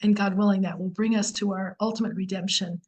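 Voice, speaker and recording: A woman's voice: medium pitch, fairly even intonation, fairly quick, breathy edge. A woman teaching a Torah class on a video call, explaining earnestly while her voice is going. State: losing her voice.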